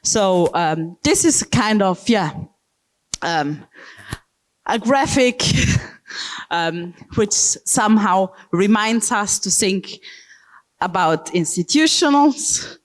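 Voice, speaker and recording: A woman from South Tyrol giving a public lecture with slides, speaking slowly with frequent ums and check-in okays. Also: medium pitch, lively intonation. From South Tyrol, Italy.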